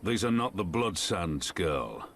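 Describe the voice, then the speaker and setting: An actor playing a grave, resolute general in a video game, speaking in a stern, measured, dignified way. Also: male voice, low voice, sing-song, a bit bright, slight background noise, exaggerated voice. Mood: solemn resolve. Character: grave, resolute male general and resistance leader. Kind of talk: video game drama acting.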